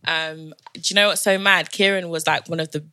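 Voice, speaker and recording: A woman's voice: low-pitched, steady tone, brisk, pushed and bright, smooth. A young woman from Zimbabwe and south London, a native Shona speaker with a London accent, chatting casually and slangily as a podcast guest. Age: young adult.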